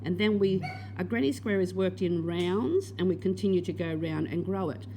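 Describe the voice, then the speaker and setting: A woman guides learners through a crochet block in a patient, step-by-step way. Her voice is worn and hoarse. A faint hum sits under the recording. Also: lowish voice, moderate pace, dark tone. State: losing her voice. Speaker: crochet teacher. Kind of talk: crochet tutorial.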